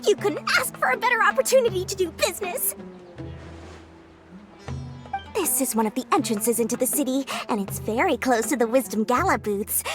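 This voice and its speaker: A greedy little merchant girl, extremely high in pitch and very animated. She is gleeful and pushy with her exclamatory sales patter, in video game style over clear background music. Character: small, greedy, gleeful girlish female merchant.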